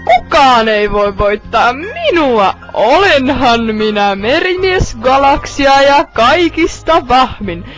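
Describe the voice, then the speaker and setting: A woman voicing a boastful, mocking anime villainess in an amateur parody fan dub, with theatrical, drawn-out taunts. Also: high-pitched, sing-song, a bit bright, faint music behind. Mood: menacing. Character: boastful villainess sailor warrior, cruel and mocking.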